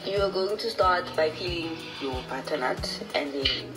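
Deep woman giving recipe steps. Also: normal speed, over music, low-quality recording. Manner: instructive, step by step. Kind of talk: recipe instructions.

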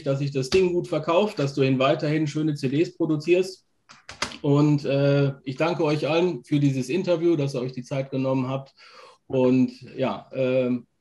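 Higher-voiced man hosting a casual interview. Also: darkish tone. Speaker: interview host and reviewer. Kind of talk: casual video-call interview.

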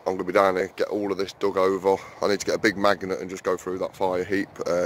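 Low-voiced man, rambling vlogger, chatty, filler-heavy, faint outdoor hum behind. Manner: rambling, chatty, many fillers, keeps calling viewers guys. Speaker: allotment vlogger. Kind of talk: casual walk-and-talk vlog. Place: outdoor allotment, then small garden shed.

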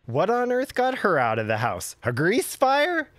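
A man playing a droll, gossipy gentleman neighbor with a theatrical manner in a period audio drama. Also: high voice, huge pitch swings, unhurried, no echo, exaggerated voice. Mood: arch.

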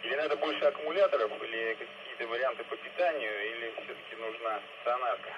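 A man chatting casually and haltingly as an amateur radio operator, heard through a two-way radio speaker. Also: medium pitch, lo-fi sound. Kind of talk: casual ham radio chat. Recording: noisy two-way radio transmission via speaker.

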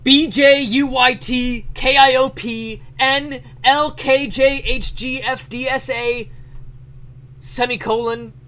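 A man playing an excitable letter writer, yelling out a typed letter in a dramatic, emphatic reading. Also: very high voice, slow pace, voice pushed up, heavily acted. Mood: loud, deliberate. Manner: shouting, declamatory, emphatic word by word.

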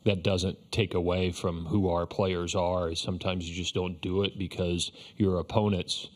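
A man in his fifties, a head baseball coach, answering questions at a postgame press conference in a measured, reflective way with frequent restarts, speaking into a table microphone. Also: low voice, flat delivery. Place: press conference room, indoors.

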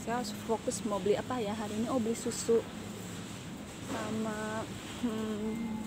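A woman's voice: on the high side, level tone, unhurried. A woman talking casually to viewers while she shops, thinking aloud with hums and fillers as she films on a phone in a large supermarket. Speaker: vlogger. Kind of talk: casual vlog narration while shopping. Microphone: phone camera held while pushing a cart. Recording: loud store noise behind the voice.

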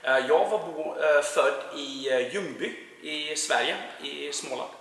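A man in his forties from Sweden, a painting contractor with a Swedish accent, answering interview questions in a relaxed way with many filler sounds, recorded on a lapel mic in a large indoor warehouse. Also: a little high, a little slow. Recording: very echoey warehouse with long reverb.

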